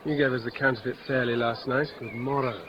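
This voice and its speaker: An actor playing a witty, mocking young gentleman in a 1950s radio Shakespeare play, with theatrical, bawdy banter. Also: medium pitch, steady tone, a little fast, natural voice. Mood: playful banter. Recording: dull, noisy old radio recording.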